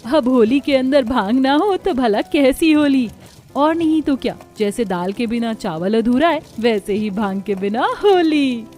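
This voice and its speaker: A woman acting an expressive, chatty young village daughter-in-law in a cartoon story. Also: a little high, measured pace. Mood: cheerful, festive.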